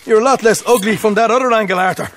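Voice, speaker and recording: An actor playing a cocky, chatty young Irish outlaw, teasing and boastful, in a Western game. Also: male voice, high voice, quick pace, slight background noise. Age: young adult. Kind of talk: dramatic game cutscene acting.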